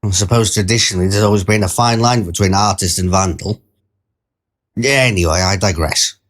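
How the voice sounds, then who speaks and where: A man's voice: sits low, moderate pace, close to an everyday voice. A man playing a mild-mannered, wordy train passenger who tells his anecdote as a conversational, digressive short story. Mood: wry, digressive. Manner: digressive, pedantic, wryly formal wording. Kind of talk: scripted first-person short story narration, conversational.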